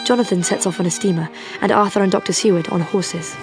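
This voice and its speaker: An actress playing a brave, intelligent young Victorian wife, speaking precisely and earnestly in a period audio drama. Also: female voice, fairly low pitch, fairly even intonation, quick pace, music in the background, close to an everyday voice. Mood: resolute.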